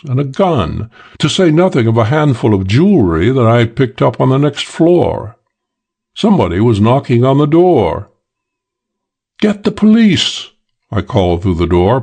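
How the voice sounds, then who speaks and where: A man's voice: medium pitch, vocal fry, darkish tone, slightly rough, full character voice. A man voicing a suave, boastful English con man in an audiobook, talking in a cool, self-assured drawl. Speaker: character in an audiobook. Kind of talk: audiobook character voice.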